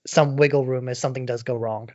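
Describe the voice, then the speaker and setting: Male podcast co-host, medium pitch, informal explainer delivery, close dry sound with no room on it. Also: steady tone, fast, a little mellow, no room sound, highs cut off. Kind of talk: casual explanatory discussion.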